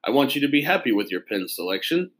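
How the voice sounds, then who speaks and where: A man voicing a fussy, pompous adult pen salesman who speaks slowly and precisely, like a sales pitch. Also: very animated, fairly bright tone.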